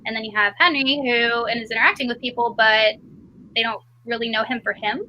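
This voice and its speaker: A woman's voice: on the high side, steady tone, a little fast, highs cut off, slight background noise. A woman hosting a casual live book-club discussion, leading the group with questions.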